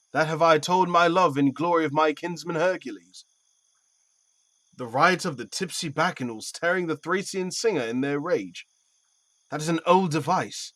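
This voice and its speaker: A man playing a stately, sceptical Athenian duke in a Shakespearean audio play, speaking in a measured, regal, declamatory way. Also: fairly high pitch, bright, forceful tone, dry recording. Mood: dismissive, amused.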